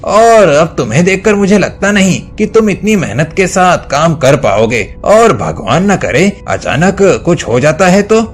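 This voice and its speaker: A man voicing a cold, smooth-talking factory boss in a moral story, with a measured, dismissive manner. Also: sits high, big pitch swings, a little hiss or hum, voice pushed up. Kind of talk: animated moral-story voice acting.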